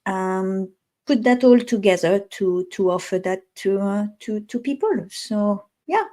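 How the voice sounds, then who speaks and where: A French woman speaks as an interview guest in English, her second language. Her voice is middle-pitched and her accent is clear. She talks thoughtfully and pauses often to find the right word. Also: mellow. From France. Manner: thoughtful, pauses to search for words. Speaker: guest, communication specialist. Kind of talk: interview conversation.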